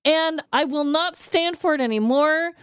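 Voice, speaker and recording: A woman co-hosting a podcast in casual comedic chat, emphatic and animated, joking crudely. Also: high voice, measured pace, dry recording, clean studio sound. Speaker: podcast co-host.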